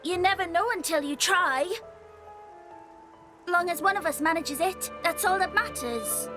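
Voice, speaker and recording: A woman's voice: very high-pitched, unhurried, music in the background. A woman voicing a stubborn, defiant boy of about ten in a video game cutscene.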